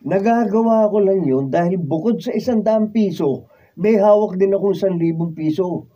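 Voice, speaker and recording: A struggling stage magician in a radio drama, high-voiced and soft-edged. He is defensive about his tricks and his earnings. The recording is dull and lo-fi. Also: normal speed. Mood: apologetic, pleading. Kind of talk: Filipino radio drama acting. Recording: dull, lo-fi behind-the-scenes studio recording.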